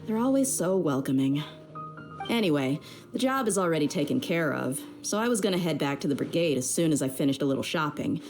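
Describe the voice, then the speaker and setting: A woman's voice: low voice, expressive, a little fast, a little mellow, soft background music. A woman playing an easygoing, loyal young female mercenary leader in a video game, speaking in a casual, frank, slangy way. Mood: relaxed, friendly.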